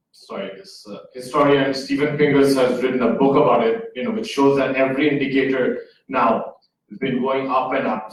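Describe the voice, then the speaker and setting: A man's voice: mid-range voice, a little hiss or hum. A man in his thirties, an award holder, asking a panel a question from the floor in a casual, somewhat rambling way. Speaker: audience member, award holder. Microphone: handheld microphone. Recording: echoey hall, distant mic.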